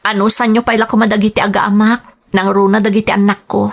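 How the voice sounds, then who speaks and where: A woman's voice: medium pitch, flat delivery, fast, close to an everyday voice. A woman playing an earnest, respectful migrant-worker mother in an audio drama. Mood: earnest, pleading. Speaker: voice actor. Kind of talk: Ilocano audio drama acting.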